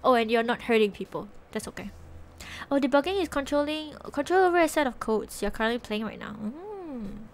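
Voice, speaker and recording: A woman's voice: high voice, expressive, fairly quick, a little hiss or hum. A young woman from Singapore with a Singaporean English accent, chatting casually with viewers on a live stream from her bedroom, giggling often and trailing off mid-sentence. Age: young adult. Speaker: Twitch streamer. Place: small bedroom, indoors. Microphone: desk microphone with headset.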